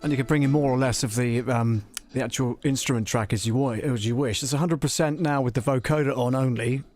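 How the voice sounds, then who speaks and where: A man giving a casual live music software tutorial, talking informally and hesitantly with a self-deprecating manner. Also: medium pitch, steady tone, fairly quick. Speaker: host of a music production livestream.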